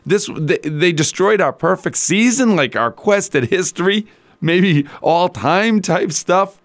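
A man with a slightly rough, strained voice hosting a sports talk radio show in a casual, rambling, conversational way. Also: high voice, animated, unhurried, no echo. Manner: colloquial, rambling, conversational asides.

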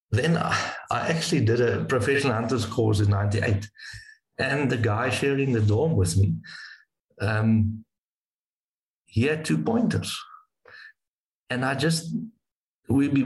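A man from South Africa, a native Afrikaans speaker with a South African accent, telling stories in a casual interview, halting and repeating words as he goes. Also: mid-range voice, reverberant, low-quality recording. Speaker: wingshooting guide.

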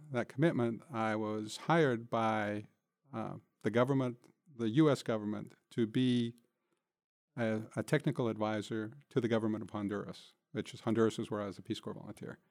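A man's voice: on the low side, darkish tone, no echo. An older man answering interview questions as a guest in a conversational, reflective and plain-spoken way, recorded in a studio. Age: older, nearing retirement. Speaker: interview guest, city manager.

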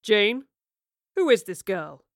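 A woman's voice: medium pitch, very animated, slow, no echo, clean studio sound. A woman voicing a malicious, impatient man in an audio drama, with a sarcastic, condescending drawl. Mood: brusque, mocking. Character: malicious, impatient, deep-voiced man, smug villain. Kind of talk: audio drama villain acting.

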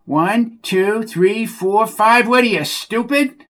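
A man's voice: sits high, slow, a bit bright, pitched above the performer's own voice. A man voicing a dim-witted, heavyset male stable hand who sounds whiny, childish and defensive. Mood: taunting.

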